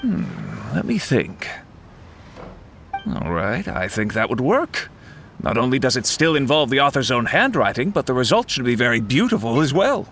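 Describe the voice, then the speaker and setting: A man playing a courteous, authoritative editor in a video game, speaking formally and deliberately. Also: mid-range voice, sing-song, fairly bright tone, a little hiss or hum. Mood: courteous, approving. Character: courteous, measured, authoritative male publishing editor. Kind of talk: scripted game dialogue. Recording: music behind the voice.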